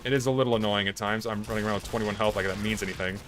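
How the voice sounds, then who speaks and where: A hoarse, tired young man chatting casually on a live stream, rambling and blunt with plenty of swearing. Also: fairly low pitch, fairly even intonation, racing, noisy background.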